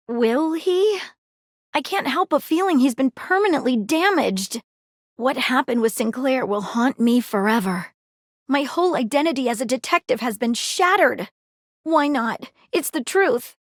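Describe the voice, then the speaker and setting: A woman's voice: fairly high pitch, no room sound, studio quality. A woman voicing a nosy, flirtatious female magnifying glass detective in a video game, with a playful manner. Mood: earnest. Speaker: dateable game character. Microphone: close studio mic.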